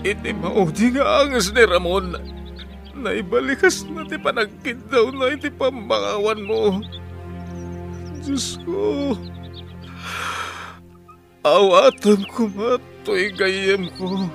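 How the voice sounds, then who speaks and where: An actor with an Ilocano Filipino accent playing an earnest, emotional friend who pleads with a fugitive in a radio drama. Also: male voice, high-pitched, slow, creaky, long pauses, full character voice. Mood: grieving. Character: earnest male friend urging a fugitive to surrender and repent. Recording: old AM radio drama, music underneath. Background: dramatic music.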